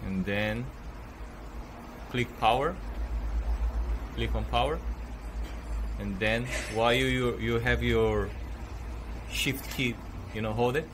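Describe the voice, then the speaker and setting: A man's voice: medium pitch, steady tone, takes it slowly, long pauses, background noise. A man hosting a tech channel, walking viewers through a computer reset in a plain, hesitant way with fillers. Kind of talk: casual how-to tutorial.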